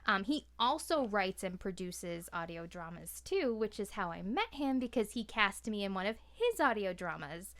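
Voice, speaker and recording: Smooth clear woman, mid pitch, casual podcast guest, blunt and informal, swears a bit. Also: sing-song, normal speed, hardly any pauses, fairly bright tone. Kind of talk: casual chat.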